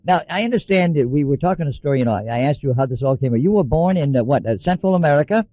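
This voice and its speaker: A man's voice: on the high side, lively intonation, very fast, dry recording, highs cut off. A man hosting a radio interview, chatty and repeating words as he starts his sentences. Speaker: radio host.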